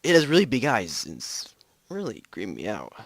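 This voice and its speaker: Man playing a nervous astronaut, animated delivery. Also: lowish voice, fairly quick, no room sound, full character voice. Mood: uneasy, bewildered. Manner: hesitant, panicky delivery. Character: nervous male American astronaut on the moon, alarmed.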